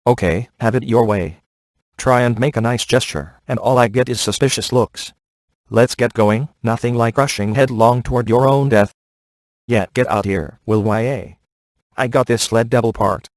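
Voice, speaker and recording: An actor playing a smug, wisecracking ghost hunter in a comic video game, delivering his lines in a sarcastic deadpan. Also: medium pitch, normal speed, no echo, close to an everyday voice. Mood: sardonic.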